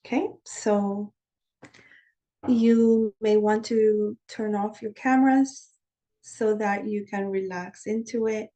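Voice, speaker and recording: Calm woman guiding a shamanic journey. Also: medium pitch, poor audio. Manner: calm, slow guiding instructions over a drum beat; chatty and candid when explaining, with soft laughs. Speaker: shamanic practice teacher. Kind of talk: guided shamanic journey meditation. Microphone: video call.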